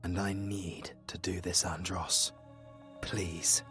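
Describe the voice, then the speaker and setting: A man playing a noble, resigned demon hunter in a fantasy audio drama, solemn and measured. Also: sits low, little pitch movement, faint music behind, full character voice. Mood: gentle, resolute. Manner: solemn, measured, hushed at times.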